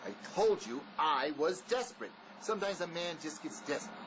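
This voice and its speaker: A man's voice: on the high side, animated, fairly quick, natural voice. A man acts a pragmatic fugitive ex-convict in an audio drama, with widely swinging intonation. He is terse, bossy and dismissive. Faint noise sits behind the voice. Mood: bossy, dry.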